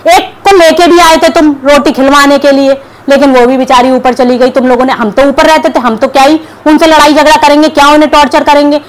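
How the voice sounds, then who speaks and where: A woman's voice: high-pitched, fairly even intonation, a little fast, few pauses, low-quality recording. A young woman vlogger giving combative reaction commentary to camera, scolding and sarcastic. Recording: clear background noise.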